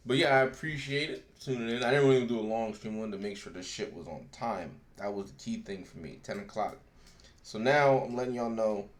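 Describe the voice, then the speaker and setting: A man hosting his own livestream, chatting casually in a husky voice, laid-back and profane, his microphone distance shifting. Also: fairly low pitch, brisk. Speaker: streamer and show host. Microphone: desk microphone, distance varies.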